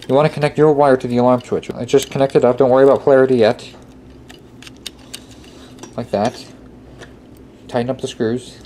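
Casting: male how-to presenter, medium pitch, casual and methodical, repeats instructions, recorded with noticeable background noise. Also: a little mellow. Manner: step-by-step, repeats himself. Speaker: tutorial presenter. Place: indoor workbench. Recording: clearly noisy amateur room recording. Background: clear background noise.

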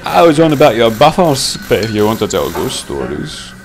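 A man's voice: medium pitch, very animated, normal speed, voice pushed up. A man voicing a jovial, teasing male steam locomotive with a Scottish accent in a children's story. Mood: mocking, amused.

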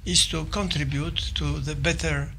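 An older man from Poland with a Polish accent, giving a formal presentation and often pausing with fillers and restarts. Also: fairly high pitch, steady tone, creaky, sharp tone, slight background noise. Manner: halting, with many fillers and restarts. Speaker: visiting scholar presenting. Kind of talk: formal presentation to a small audience. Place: office meeting room.

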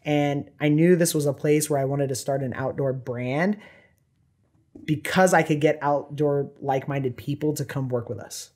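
A man in his thirties from Southern California, a company founder talking as a podcast guest, animated and full of "you know" fillers. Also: fairly high pitch, normal speed. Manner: animated, gestures a lot, many fillers like "you know" and "right". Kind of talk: casual interview talk. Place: small podcast studio with bookshelves. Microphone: desk microphone on boom arm.

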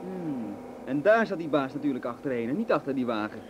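A man's voice: medium pitch, sing-song, a little fast, background noise, close to an everyday voice. An actor playing a jovial, smugly menacing henchman in a radio drama, falsely friendly and prone to chuckling. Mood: gloating joviality.

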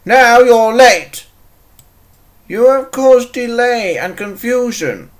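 A man's voice: high voice, lively intonation, normal speed. A man voicing a thin, strict railway controller from a children's cartoon, formal and admonishing.

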